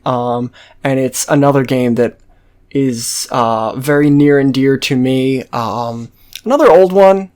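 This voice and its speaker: A man hosting a gaming video with casual, unscripted commentary, rambling along and swearing freely. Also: mid-range voice.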